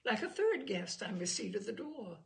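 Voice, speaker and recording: A woman narrates literary humour with a wry, theatrical touch. Her pitch moves around freely at a middling height. A noticeable creak runs through the voice. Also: sing-song, moderate pace. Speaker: reader of humour stories. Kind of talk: expressive literary story reading.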